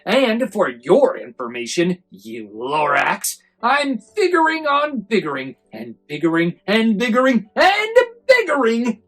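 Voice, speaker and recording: A woman's voice: on the low side, pitched above the performer's own voice, heavily acted. A woman voicing a defiant, greedy male factory owner in a storybook, boastful and blustering with heavy emphasis.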